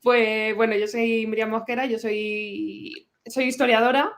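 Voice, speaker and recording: A woman from Madrid speaks as a guest on a book podcast, relaxed and chatty, and often laughs lightly. Her voice sits a little higher than most women's. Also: unhurried. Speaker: guest, historian and novelist. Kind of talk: casual interview.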